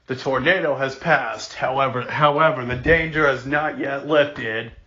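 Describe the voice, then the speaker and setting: A man reads a scripted mock emergency broadcast as the announcer, solemn, deliberate and emphatic, sometimes stumbling and repeating words. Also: medium pitch, pushed and bright. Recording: dull lo-fi recording.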